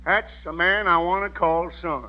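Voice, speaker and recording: A man's voice: high voice, fairly even intonation, moderate pace, close to an everyday voice. An actor playing a blustering, folksy old father in a radio comedy. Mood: blustery. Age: older. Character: wild, gun-toting old rustic Western father. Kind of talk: classic American radio comedy acting. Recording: muffled vintage radio recording.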